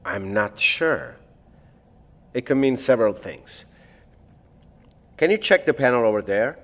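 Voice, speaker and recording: A man playing a reflective, apologetic scientist in an audio drama, explaining things in a measured, hesitant way. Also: medium pitch, expressive, close to an everyday voice. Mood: focused. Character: blind male scientist, reflective, apologetic, technical project doctor.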